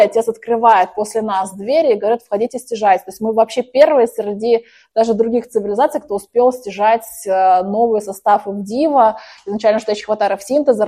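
Woman, group practice leader, mellow tone, middle pitch, chatty with fillers. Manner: chanting incantations, then chatty explanations with many fillers. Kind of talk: leading a group spiritual practice. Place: hall with a group.

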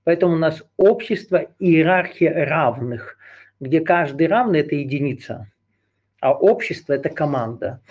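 A man's voice: a little high, animated, moderate pace, darkish tone. Man lecturing in winding, rephrasing talk. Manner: discursive, circles back and rephrases, hesitant fillers. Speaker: teacher leading a group session. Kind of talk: unscripted esoteric lecture.

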